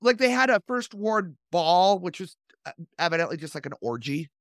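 The animated woman one would hear hosting a loose history podcast from a close, dry little studio, her pitch rising and dipping. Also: normal speed, dry recording. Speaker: podcast host. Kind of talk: casual, digressive history chat.

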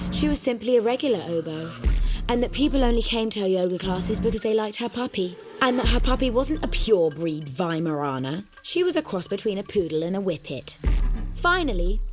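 An actress playing a sly, malicious inner voice, narrating in a British accent with measured, deadpan control. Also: female voice, medium pitch, close to an everyday voice. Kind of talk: scripted first-person drama narration. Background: music.

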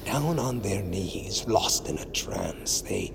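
A dangerous right-hand enforcer in an audio drama. He is wry and insinuating, with a very low, gravelly drawn-out delivery over noisy ambience. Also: huge pitch swings, heavily acted. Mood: dark fascination. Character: dangerous, admiring male bodyguard to a sorcerer.

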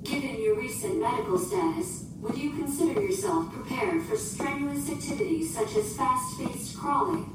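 Echoing high-pitched female ship computer. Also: expressive, moderate pace, few pauses, over music. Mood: calm, instructive. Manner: formal, precise, literal, emotionless phrasing. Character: female ship computer, literal, formal, unflappable. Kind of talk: science fiction audio drama acting. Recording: very echoey, distant, noisy ambience.

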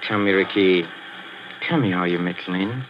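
An actor playing a sinister, fawning old boxing trainer who talks softly and giggles, in a 1940s radio drama. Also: male voice, deep voice, normal speed, close to an everyday voice. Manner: soft, ingratiating, giggling, menacing undertone. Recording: hissy lo-fi old radio recording.

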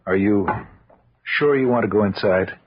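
A man playing an uneasy scientist who tries to reassure, halting and measured, in an old radio thriller. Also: on the low side, a little hiss or hum, natural voice. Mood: uneasy, reassuring. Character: uneasy but reassuring male robotics scientist. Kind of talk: 1950s radio thriller acting. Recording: muffled old radio recording.

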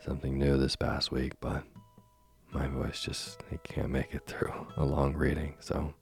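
Very deep, flat, gravelly sleepy-time reader. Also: male voice. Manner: slow, gentle and soothing. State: losing his voice. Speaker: sleep podcast host and reader. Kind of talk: soft bedtime story narration. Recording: faint music behind.

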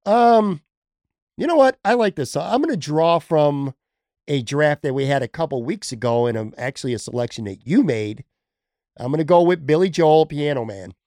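Male podcast host, casual chat, fairly high voice, dry close sound. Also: expressive, normal speed, darkish tone, dry recording.

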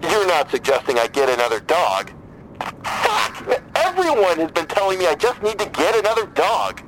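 A man playing a grieving, despairing father calling a crisis line in an audio drama, halting, weary and bitter. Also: high-pitched, wildly swooping pitch, background noise. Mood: bitter, skeptical, then anguished, exasperated. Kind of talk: naturalistic audio drama acting.

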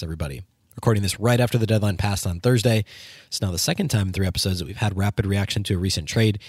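A hoarse man with flu rattles through his analysis at a quick clip, voice sitting fairly low. He hosts a solo sports podcast, full of ums and restarts. The sound is dry and close. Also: darkish tone, no echo. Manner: fast rambling analysis with many ums and restarts. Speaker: podcast host.

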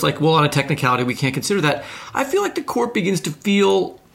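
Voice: medium pitch, creaky, a bit bright. A podcast host in casual discussion, thinking aloud tentatively and correcting themselves along the way.

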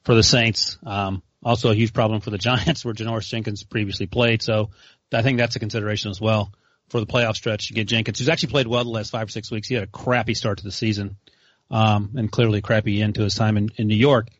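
Fast-talking man, lowish voice, wry jabs, dry sound. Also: little pitch movement, no room sound, highs cut off. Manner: quick, wry. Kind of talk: casual sports chat.

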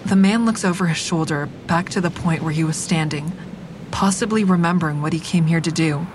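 An actress playing a wry, hard-edged woman who narrates her own story in a frank, deliberate dramatic style. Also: lightly acted. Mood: calculating, intimate. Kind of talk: scripted first-person dramatic narration. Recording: clear rumbling traffic noise behind.

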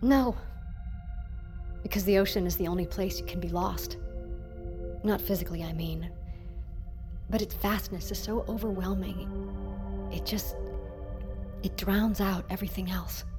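A woman's voice: medium pitch, steady tone, a little slow, long pauses, music in the background, natural voice. An actress playing an anxious, stubborn woman scientist in a naturalistic audio drama, argumentative and distrustful. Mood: confessional, wary.

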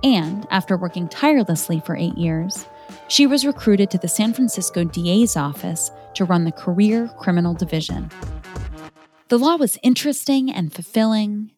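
A woman's voice: medium pitch, a little mellow. Woman narrating a story over music. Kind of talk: scripted biographical storytelling for children.

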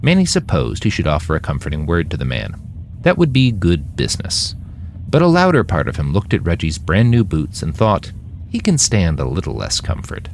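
A man reading scripted fiction narration in an expressive, dramatic storytelling manner. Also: deep voice, normal speed, creaky, slight background noise. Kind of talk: scripted audiobook-style narration of a dystopian war novel.

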